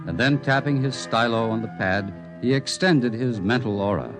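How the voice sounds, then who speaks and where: An actor playing the measured male narrator of a 1950s radio drama, reading scripted narration. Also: mid-range voice, expressive, natural voice. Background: dramatic music.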